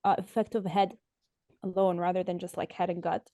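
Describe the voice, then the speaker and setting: A woman guest on a podcast talks through her thoughts quickly and loosely. Her voice is mellow and soft-toned, and the recording is dry and close over a video call. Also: on the low side, little pitch movement, dry recording.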